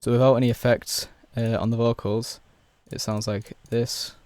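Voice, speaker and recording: A young man with a hoarse, worn voice, casually walking through a music production tutorial step by step with plenty of fillers. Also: mid-range voice, level tone, normal speed, a little mellow, no echo. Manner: laid-back, explains step by step with many fillers. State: hoarse, losing his voice.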